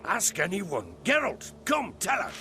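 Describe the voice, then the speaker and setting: A man playing a rough, drunken, remorseful father in a video game, speaking in a heavy, wheedling, emotional way. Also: high voice, huge pitch swings, measured pace, bright, forceful tone, a little hiss or hum, heavily acted. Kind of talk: video game cutscene acting.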